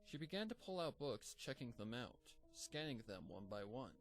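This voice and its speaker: A man reads a story in a steady, even tone, with his voice pitched in an ordinary middle range. Quiet music plays faintly underneath the narration. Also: moderate pace. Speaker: fanfic narrator. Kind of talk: storybook reading.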